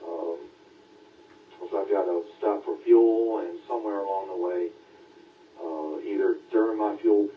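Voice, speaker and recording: A middle-aged or older man chatting casually over two-way radio, halting and rambling with many hesitations. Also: deep voice. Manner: halting, many long hesitations and filler words. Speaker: ham radio caller, long-haul trucker. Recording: tinny narrowband radio link, roomy, distant.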